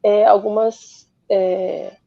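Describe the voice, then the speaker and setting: A woman from Rio de Janeiro with a Carioca accent, a journalist guest explaining patiently with many pauses in a casual podcast chat. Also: mid-range voice, level tone, vocal fry, no echo, dull audio. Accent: Carioca Brazilian Portuguese. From Rio de Janeiro, Brazil.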